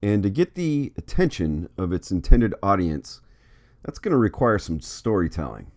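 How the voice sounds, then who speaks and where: Low-pitched, lively man narrating a vlog. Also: moderate pace. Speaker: host, artist.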